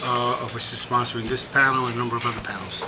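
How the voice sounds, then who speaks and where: A man's voice: medium pitch, little pitch movement, quick pace. Male union organizer from New York, casual intro; dull, hissy. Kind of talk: self-introduction. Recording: dull, noisy.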